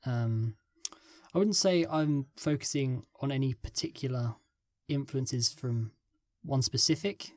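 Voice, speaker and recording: Male podcast guest, mid-pitch, halting and filler-heavy, dry close sound. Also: no room sound. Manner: hesitant, filler-heavy, trails off mid-thought. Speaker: guest script writer. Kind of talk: casual podcast interview.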